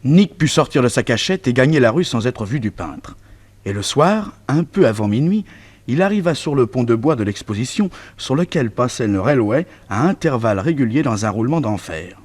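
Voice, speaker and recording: An actor is the narrator of a vintage French detective radio serial, telling his uncle's exploits. His medium-pitched male voice moves widely up and down as he delivers the scripted story. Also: expressive, normal speed, a little mellow, natural voice. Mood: storytelling.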